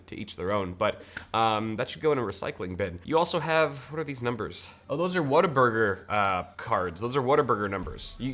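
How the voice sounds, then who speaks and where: A man's voice: on the low side, fairly bright tone. An American man in his thirties giving casual, joking, conversational commentary as a tech video host. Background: background music.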